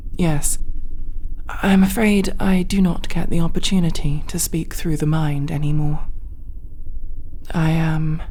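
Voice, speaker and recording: Reserved female alien played by a woman, sci-fi ASMR roleplay: mellow low voice, formal measured diction, soft delivery. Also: a little hiss or hum. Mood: formal, wistful.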